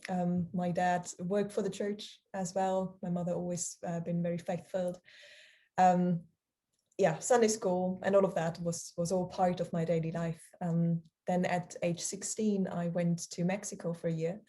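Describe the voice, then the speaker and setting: A woman's voice: fairly low pitch, flat delivery, normal speed, dark tone. A woman, answering interview questions in a hesitant, casual way with many ums and easy laughter. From Germany. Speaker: guest, charity founder.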